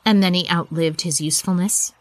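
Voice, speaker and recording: A woman's voice: fairly low pitch, steady tone, a little fast, no echo, natural voice. Woman acting a guarded, weary female spy chief. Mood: guarded, suspicious. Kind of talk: audio drama acting.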